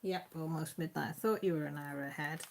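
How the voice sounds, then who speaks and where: Woman, Radnorshire Welsh accent, homely chatty livestream host, fairly low voice, laughs often. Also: a little fast, gravelly, slight background noise. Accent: Mid Wales (Radnorshire). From Wales. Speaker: YouTube channel host. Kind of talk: casual live-stream chat, reading comments.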